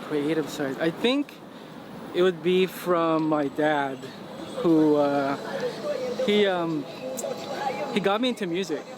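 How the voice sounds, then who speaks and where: A man's voice: a little high, slow pace, long pauses. A man from the Philippines, interviewed outdoors on a city street, talking casually with lots of fillers. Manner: relaxed, many fillers like "you know". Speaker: interviewee, musician. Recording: street noise behind.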